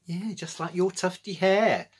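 A man's voice: sits high, a little slow, slight background noise, voice pushed up. A man voicing an earnest, cheeky young girl detective in a storybook.

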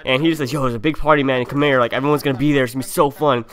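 Young guy streamer telling a story, mid pitched voice, slangy and informal, does the dialogue bits. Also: steady tone, rapid-fire, fairly bright tone. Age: young adult. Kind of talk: casual storytelling. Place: indoor room at a gaming setup.

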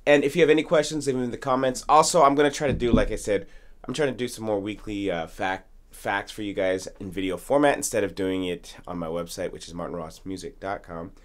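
A man's voice: fairly low pitch, fast. A man in his thirties hosting an informal instructional tutorial, talking straight to viewers from a small studio. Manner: informal, addresses viewers directly. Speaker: music tutorial host, musician. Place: small home studio with acoustic foam. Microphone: studio condenser mic with pop filter.

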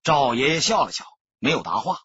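A man narrating an audiobook in a dramatic storytelling style, with a husky, raspy voice. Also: mid-range voice, sing-song, fairly quick, a bit bright, no echo, dull audio.

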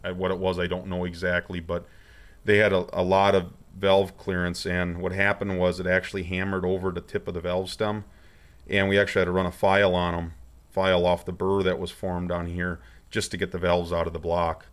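A man with a low voice talks through a hands-on project in a plain, folksy way. He explains things informally and without hurry, recorded on a handheld camera in a workshop. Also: completely flat delivery, fairly quick. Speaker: amateur tractor rebuilder presenting a project update.

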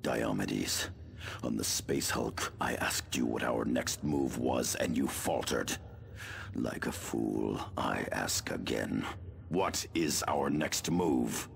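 Very deep, gravelly veteran warrior. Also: male voice, takes it slowly, full character voice. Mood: grim challenge. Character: grim, world-weary male space marine veteran. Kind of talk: video game character acting.

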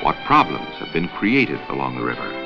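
A dull vintage film soundtrack with music carries a man's low American voice. He narrates an educational film in a measured, instructional way, with lively rises and falls in pitch. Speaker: documentary narrator.

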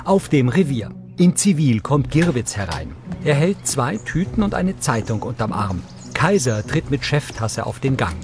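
A man's voice: medium pitch, big pitch swings, moderate pace, over music. A man narrating scripted audio description in a neutral, factual manner.

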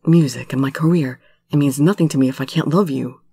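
Woman voicing an earnest, low-pitched lovesick young man. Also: a little fast, warm tone, breathy edge, heavily acted. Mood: desperate, earnest. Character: earnest lovesick young male musician, intense and pleading. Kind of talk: dramatic romance story reading.

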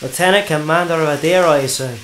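Man playing a sharp secret agent amid noise. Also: fairly high pitch, fairly quick, lightly acted. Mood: stern, interrogating. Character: sharp, commanding male intelligence agent of space empire. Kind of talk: science fiction audio drama acting. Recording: clear background ambient noise.

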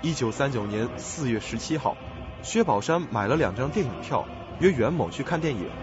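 A man's voice: mid-range voice, animated, brisk, dull audio, over music, lightly acted. A man playing an earnest, uneasy young investigator in a suspense radio drama. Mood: urgent, storytelling.

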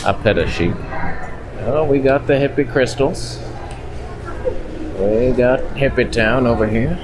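A man's voice: medium pitch, measured pace, crowd noise. A man in his thirties giving casual, joking walk-along vlog commentary into a handheld camera. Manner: wry, joking asides. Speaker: vlogger. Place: on the move through a market and outdoors. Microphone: handheld camera at arm's length. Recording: noisy handheld camera with ambient noise.

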